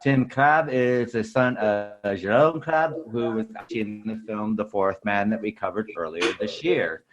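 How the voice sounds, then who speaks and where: A man's voice: on the low side, moderate pace, highs cut off. A man hosting a podcast, reading a scripted, informational film introduction like an announcer. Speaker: podcast host.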